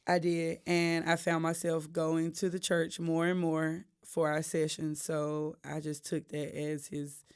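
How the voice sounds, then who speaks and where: Deep-voiced woman telling stories. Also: measured pace, hardly any pauses, smooth. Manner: animated storyteller, acts out quotes. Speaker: guest, gospel singer. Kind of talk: casual podcast interview.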